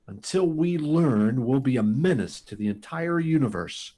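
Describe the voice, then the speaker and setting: An actor playing a frantic, halting test pilot pleading to warn of doom in a sci-fi radio drama. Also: male voice, medium pitch, animated, dark tone. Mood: dazed, awed. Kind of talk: live performance of 1950s sci-fi radio drama.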